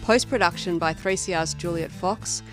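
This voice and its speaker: Low-voiced Australian woman reading podcast credits. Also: normal speed. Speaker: podcast host. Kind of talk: scripted podcast credits narration. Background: plucked guitar music.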